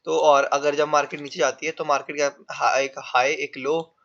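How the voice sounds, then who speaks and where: A man's voice: fairly high pitch, little pitch movement, normal speed, a bit bright. A man with a sore throat teaching a trading lesson while drawing on a chart, explaining step by step in a casual way. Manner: explains step by step, calls viewers "bhai". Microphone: no proper mic yet. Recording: dull lo-fi recording without a proper mic.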